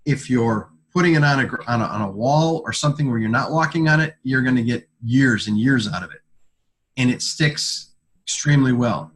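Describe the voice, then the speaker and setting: A man with a mid-range voice presents products in an informal sales talk. His delivery is halting, and he often repeats a word or phrase before carrying on. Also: medium pitch, a bit bright. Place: conference call.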